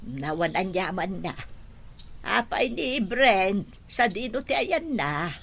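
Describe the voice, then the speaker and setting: A doting old grandmother from a radio drama. She speaks slowly and emotionally, with her pitch swinging widely as she cares for the young ones. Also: big pitch swings, vocal fry, full character voice. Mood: fond.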